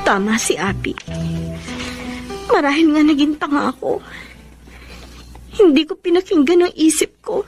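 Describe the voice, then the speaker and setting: A very high, swooping female voice plays a betrayed young woman. She is melodramatic and emotional, wounded and indignant in the manner of a radio love drama. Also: darkish tone, full character voice. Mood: sorrowful. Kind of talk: Tagalog radio drama acting.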